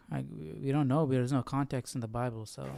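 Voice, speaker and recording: A man's voice: medium pitch, fairly even intonation, normal speed, gravelly, mellow, no room sound. A man hosting a casual live Bible study, musing and questioning as he thinks aloud. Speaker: host.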